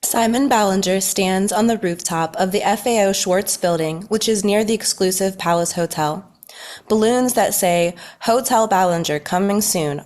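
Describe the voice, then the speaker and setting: A woman's voice: mid-range voice, a little mellow. Woman narrator reading a story script. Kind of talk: scripted narration at a table read.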